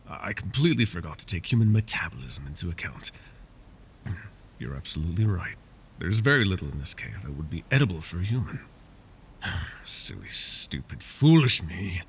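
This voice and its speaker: A man voicing a possessive, scheming male dragon in an ASMR roleplay, teasing and drifting into soft whispers. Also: sing-song, moderate pace, long pauses, slight background noise. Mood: coaxing. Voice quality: gravelly, low.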